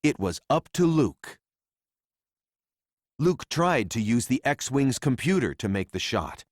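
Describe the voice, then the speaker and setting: A man narrating a children's read-along story in a simple, deliberate storytelling manner for young readers. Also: mid-range voice, big pitch swings, no room sound, clean studio sound.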